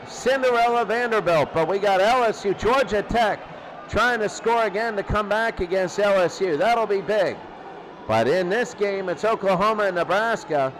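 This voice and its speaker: Male play-by-play announcer, high voice, excitable and informal, repeating short phrases over clearly audible background noise. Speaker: play-by-play commentator. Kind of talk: informal play-by-play football commentary. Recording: noisy, steady background rumble.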